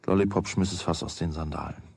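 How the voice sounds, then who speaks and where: A man's voice: low-pitched, steady tone, fast talker, gravelly, darkish tone, slightly rough, no room sound, clean studio sound, exaggerated voice. A man narrating a children's radio play as its storyteller, in a scripted storytelling style. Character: storyteller of a boy's everyday adventures.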